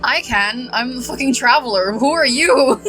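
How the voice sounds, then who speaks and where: A woman streamer giving casual, reactive game commentary, halting and repetitive, exasperated and prone to swearing. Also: high-pitched, fairly bright tone, faint music behind, poor audio. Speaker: gaming streamer.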